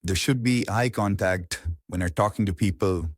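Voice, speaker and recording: A man with an Indian accent speaks in a low voice, recorded close and dry. He teaches informally, in an earnest and somewhat halting manner, leaning on repeated words to make his point. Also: no room sound. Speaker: public speaking coach. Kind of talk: informal instructional talk on public speaking.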